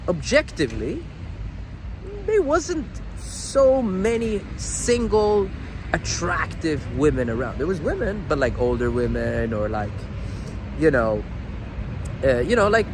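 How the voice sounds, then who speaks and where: Swedish-born guy vlogger, high voice, slangy and emphatic, repeats himself, street noise behind him. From Sweden. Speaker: dating coach and vlog host. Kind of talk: casual vlog talk addressed to viewers. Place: outdoors walking on city streets. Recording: noisy outdoor city street with traffic.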